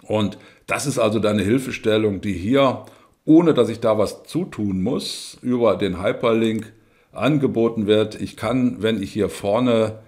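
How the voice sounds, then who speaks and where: A man's voice: lowish voice, measured pace, darkish tone. A man talking through a spreadsheet in a screen-recorded tutorial, explaining step by step with frequent fillers and tag questions. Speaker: instructor.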